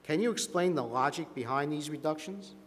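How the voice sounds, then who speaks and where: A man's voice: a little high, animated, a little fast, lightly acted. A man playing a formal, businesslike board questioner at an audit hearing in an amateur stage dramatization. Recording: echoey hall, long reverb.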